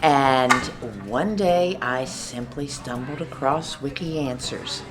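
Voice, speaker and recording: A woman from Alabama answering interview questions in an unhurried, deliberate way. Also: very deep voice, over music. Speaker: site volunteer.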